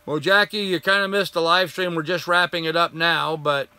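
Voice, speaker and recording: An older man hosting a casual live stream, chatting and answering viewer comments, getting hoarse after a long session. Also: fairly high pitch, fairly even intonation, normal speed, bright, forceful tone, dry recording. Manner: rambling, friendly, greets viewers by name.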